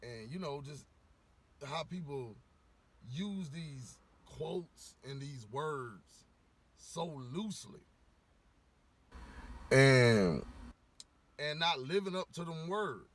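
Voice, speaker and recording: A man ranting casually to camera, a little hoarse from losing his voice, rambling with lots of "you know" and swearing bluntly. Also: a little high, lively intonation, slow pace, long pauses, a bit bright. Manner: rambling, repeats words, frequent "you know". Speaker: vlogger giving opinions.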